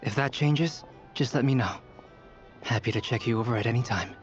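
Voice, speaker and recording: Actor as a calm, caring male medic. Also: medium pitch, brisk, dull audio, faint music behind, lightly acted. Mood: concerned. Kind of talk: game dialogue.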